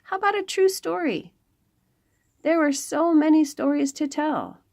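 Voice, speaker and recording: A woman reading aloud to young children as a storytime host, expressive, with dramatic emphasis and pauses. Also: high-pitched, vocal fry.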